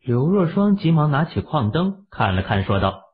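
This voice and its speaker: A man with a raspy voice narrating an audio drama in a steady storytelling manner. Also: on the high side, normal speed, phone-quality sound.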